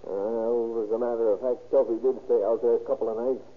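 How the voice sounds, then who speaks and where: A man's voice: medium pitch, fairly even intonation, a little fast, lightly acted. A man playing an evasive, folksy middle-aged father in an old radio crime drama. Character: evasive middle-aged father shielding his crooked son. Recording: tinny, noisy old radio recording.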